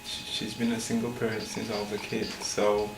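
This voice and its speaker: A young man with a Ghanaian accent talks informally in a fairly low, even voice. He is recorded in an echoey, noisy room. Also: fairly quick. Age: young adult. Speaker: student athlete interviewee. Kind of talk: casual, informal talk about family. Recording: echoey room with clear noise.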